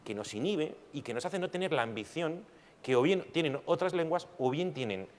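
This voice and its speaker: A man's voice: on the high side, animated, moderate pace. Reflective man arguing on a panel. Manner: reflective, argumentative, with rhetorical questions. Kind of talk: panel discussion. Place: large hall at a public panel. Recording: hall reverb with faint noise.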